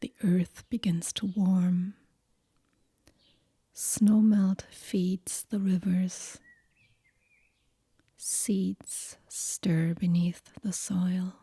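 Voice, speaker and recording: Breathy, whispering woman guiding slow meditation. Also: on the low side, little pitch movement, no room sound, studio quality. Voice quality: breathy, hushed, near-whisper. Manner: gentle, unhurried, soothing, with long pauses. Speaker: yoga nidra meditation guide. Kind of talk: guided relaxation meditation, soft and whispery.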